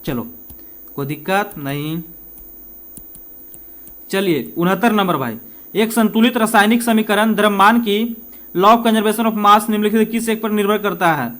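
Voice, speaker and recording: A man's voice: high voice, a little slow, long pauses, a bit bright. A man works through practice questions as a coaching teacher. He is informal and conversational, putting questions to the listener and answering them himself, and he slips between Hindi and English. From India.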